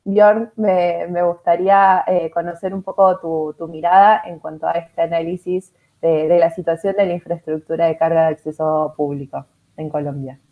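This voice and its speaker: A woman's voice: on the low side, little pitch movement, moderate pace, phone-quality sound. A woman moderating a panel, hesitant with many fillers and repeats.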